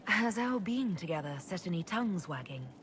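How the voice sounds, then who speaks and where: A woman's voice: fairly low pitch, lively intonation, normal speed, slight background noise, lightly acted. An actress playing a self-assured, courtly woman leader in a video game, composed and direct in her delivery. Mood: curious. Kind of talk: video game cut-scene acting.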